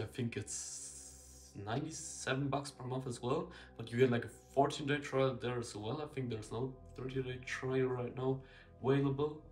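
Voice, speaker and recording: A young man talks straight to camera in a small room, his thoughts spilling out loosely, with many "um"s and restarts. He is blunt and candid, even swearing casually. Faint music sits quietly underneath. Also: medium pitch. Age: young adult. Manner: rambling, filler-heavy, frequent self-corrections. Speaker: marketing consultant. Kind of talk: casual talking-head video. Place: small indoor room with a window.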